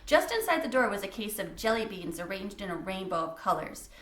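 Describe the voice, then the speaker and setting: A woman's voice: mid-range voice, very animated, a little fast, fairly bright tone, reverberant. A woman reading a children's book aloud as a storyteller, in a scripted narration style.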